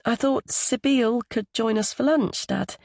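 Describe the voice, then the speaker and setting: A woman's voice: a little high, fairly even intonation, measured pace, a little mellow, no room sound, studio quality, voice pushed up. A woman voicing a friendly, welcoming, easygoing mother in an audiobook. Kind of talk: audiobook character dialogue.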